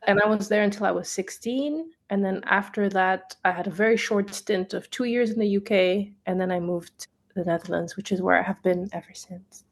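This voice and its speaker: A young woman guest who grew up in Tanzania talks through her past in a calm, measured way. Her voice sits in a middle range, and she laughs lightly now and then. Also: mid-range voice. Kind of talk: relaxed conversational storytelling.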